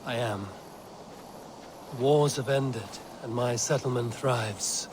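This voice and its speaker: An actor playing a shrewd Norse warrior leader in a video game, talking in a dry, wry and pointed way. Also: male voice, mid-range voice, slow, background noise, lightly acted. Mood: dry, assured. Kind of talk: video game cutscene acting.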